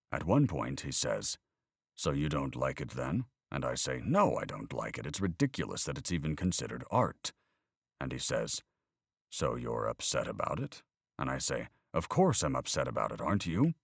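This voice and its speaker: A man reading an audiobook, voicing a weary older man who talks in a measured, reflective way. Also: extremely low pitch, sing-song, gravelly, breathy edge, no echo, clean studio sound, full character voice. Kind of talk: audiobook character voice.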